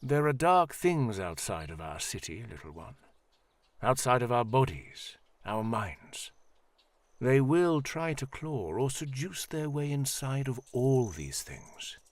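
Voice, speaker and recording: A man playing an elderly high priest in a fantasy audio drama, kindly and solemn, speaking in a formal, measured, reassuring way. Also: very animated. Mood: grave warning.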